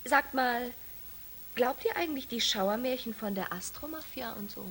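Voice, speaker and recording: A chatty young female astronaut in a science fiction radio drama. Her highish voice is very melodic. She sounds curious and questioning. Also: animated, normal speed, fairly bright tone, husky, exaggerated voice, poor audio. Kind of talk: German science fiction radio play acting.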